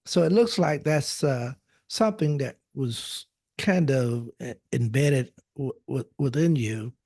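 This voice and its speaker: A man hosting a podcast, speaking haltingly with many pauses and restarts. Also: mid-range voice, a little mellow, dry recording, studio quality. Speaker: podcast host. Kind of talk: interview.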